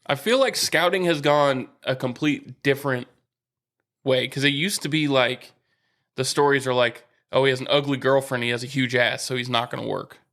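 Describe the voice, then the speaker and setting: A man co-hosting a podcast in casual sports chat, steady and explanatory, slowing down to stress a point. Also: medium pitch. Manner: steady, explains and asks questions, slows for emphasis. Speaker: podcast co-host.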